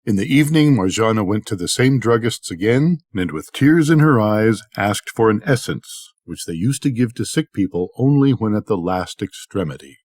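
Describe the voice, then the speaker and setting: Older guy telling a bedtime story, lowish voice, really dry close sound. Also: expressive, normal speed, few pauses, darkish tone, no room sound.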